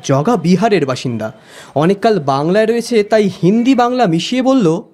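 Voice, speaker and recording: A man's voice: sits high, expressive, moderate pace, darkish tone. A man narrating an audio story expressively in the first person, as the detective's friend. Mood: storytelling.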